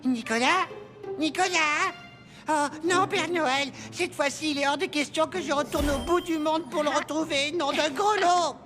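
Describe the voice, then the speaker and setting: Prim female cartoon elf, high-pitched, measured and theatrical, music underneath. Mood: exasperated. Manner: deliberate, theatrical. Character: prim, motherly female elf adviser, easily exasperated. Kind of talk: French children's cartoon dubbing.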